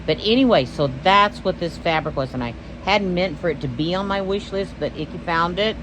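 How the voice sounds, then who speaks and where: A woman's voice: lowish voice, a little fast, noisy background. A Texan woman hosting a stitching vlog, chatting casually and rambling, often losing her train of thought. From Texas. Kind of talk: casual show-and-tell vlog.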